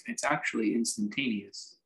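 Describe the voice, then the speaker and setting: A man leads a guided meditation as its teacher, in a voice of medium pitch. He gives his instruction off the cuff, in measured fragments. Reflective pauses break up his sentences as he goes. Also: fairly even intonation, fairly bright tone, poor audio.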